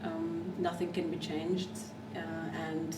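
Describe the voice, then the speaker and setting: A Belarusian woman in her thirties, a guest and association co-founder, speaking earnestly and in a measured way with frequent ums. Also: on the low side, level tone. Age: 30s. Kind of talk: earnest personal account. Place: café. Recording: far-off mic in an echoey café with noise.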